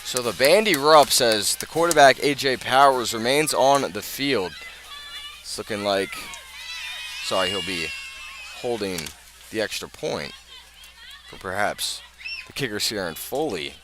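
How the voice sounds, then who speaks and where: An American man doing live play-by-play radio commentary of a high school football game. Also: expressive, unhurried, pauses often, background noise. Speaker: play-by-play announcer.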